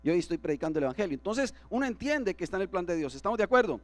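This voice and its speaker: High-voiced preaching man. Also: big pitch swings, racing, dry recording. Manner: asks the congregation for agreement. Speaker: pastor. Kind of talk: sermon. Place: church.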